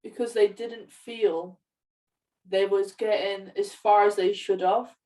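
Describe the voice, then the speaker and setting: A woman's voice: medium pitch, fairly even intonation, takes it slowly, mellow. A young woman chatting casually as a podcast co-host. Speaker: podcast co-host, media student. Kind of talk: casual film podcast chat. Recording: roomy echo, distant from mic.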